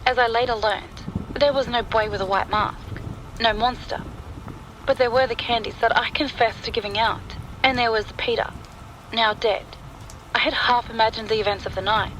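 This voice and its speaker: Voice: medium pitch, normal speed, low-quality recording. A narrator reading a scripted first-person horror story, with rain and thunder sounds behind the voice. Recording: clear steady rain and storm noise. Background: rain and thunder sound effects.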